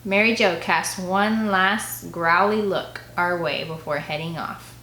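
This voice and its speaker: A woman narrating an audiobook in an expressive, first-person read-aloud style. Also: lowish voice, slow. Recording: echoey, roomy sound.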